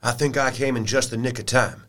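A man plays an easygoing, gallant adventurer in a gothic audio drama. He talks in a folksy, forthright way at a middling male pitch. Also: fairly even intonation, brisk, fairly bright tone, natural voice. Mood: steady, helpful.

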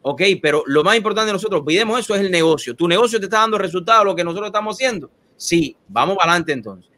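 A man hosting an interview, animated and speeding up when he makes a point. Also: high-pitched, rapid-fire. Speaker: host. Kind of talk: interview conversation.

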